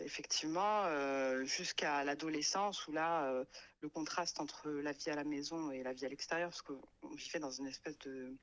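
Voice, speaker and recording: A woman's voice: sits low, fairly bright tone, lo-fi sound. A woman in her late thirties from France, telling her life story with many hesitations, fillers and repeated words. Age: 30s. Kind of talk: hesitant personal testimony. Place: radio studio.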